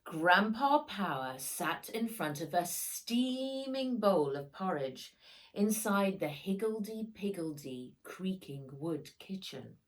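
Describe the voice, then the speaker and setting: A woman narrates a children's storybook in a slightly low voice. She takes her time and varies her pitch widely, giving the action words dramatic emphasis. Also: big pitch swings, takes it slowly, rough recording. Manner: dramatic, with sound words acted out. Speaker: author reading own book.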